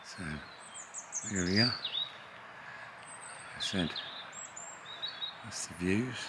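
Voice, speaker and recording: A man in his fifties, relaxed and chatty with frequent fillers, filming himself at arm's length while walking around a riverside campsite. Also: sits low, extremely slow. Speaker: vlogger touring a campsite. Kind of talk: casual walk-around commentary. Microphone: handheld camera at arm's length. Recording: outdoor camera audio with clear ambient noise. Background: birdsong and rural outdoor ambience.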